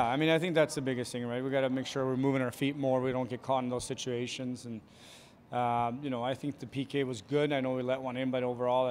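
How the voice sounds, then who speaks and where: A man in his forties, a hockey coach answering postgame questions quickly and plainly, with many fillers, into a handheld mic in an arena hallway. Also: medium pitch, a little hiss or hum. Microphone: handheld reporter mic.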